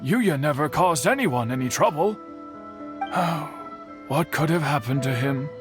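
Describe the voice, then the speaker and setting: A man's voice: fairly high pitch, animated, unhurried. A man playing an earnest, loyal and formal character in an anime video game. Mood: earnest, concerned. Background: game music.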